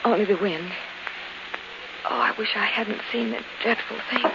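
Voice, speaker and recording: An actress playing a nervous, well-bred young heiress in a 1940s radio drama, polite and dramatic. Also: female voice, fairly high pitch, steady tone, moderate pace, creaky. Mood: frightened. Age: young adult. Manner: anxious, polite, breathlessly dramatic. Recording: muffled, noisy 1940s radio recording.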